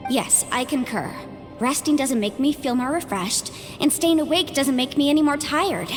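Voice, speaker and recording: A woman's voice: sits high, expressive, normal speed, long reverb tail, over music. A woman playing a poised, inquisitive young woman in a video game, speaking precisely and formally. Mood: intrigued.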